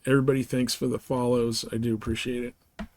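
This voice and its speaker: A man's voice: mid-range voice, flat delivery, fairly quick, darkish tone. A man chatting casually and informally as he hosts a live game stream, rambling in slangy language.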